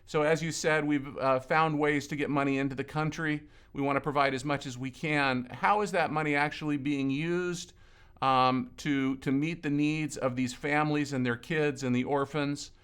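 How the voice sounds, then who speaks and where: A man from Lebanon interviewing a colleague in an earnest, conversational way, often pausing and repeating words. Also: on the high side, fairly even intonation, fairly bright tone, clear, resonant tone. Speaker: ministry leader interviewing a colleague.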